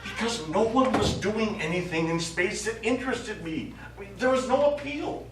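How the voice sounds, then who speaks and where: Guy onstage as astronaut defending himself to the crew, highish voice, halting, super echoey, bit of hiss. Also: lively intonation. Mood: defensive, insistent. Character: quiet, guarded male astronaut explaining himself to his crew. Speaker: stage actor in a short play. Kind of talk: live stage play dialogue. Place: small theater studio, recorded from audience distance. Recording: echoey hall, distant mic, faint noise.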